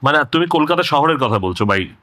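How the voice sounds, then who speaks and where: A man's voice: a little high, sing-song, fast talker, sharp tone, no echo. A man with a West Bengal Bengali accent casually asking brief clarifying questions as an interviewer. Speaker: interviewer, family member of the guest.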